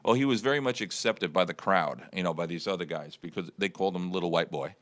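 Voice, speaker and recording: A man's voice: low voice, fast talker, fairly bright tone. A man hosting a casual internet radio morning show, telling a long rambling story with many restarts.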